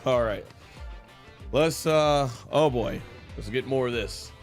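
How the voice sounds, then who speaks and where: Casual man streaming, soft music behind. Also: medium pitch, takes it slowly. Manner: thinking aloud. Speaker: streamer and amateur voice actor. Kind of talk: casual gaming chat.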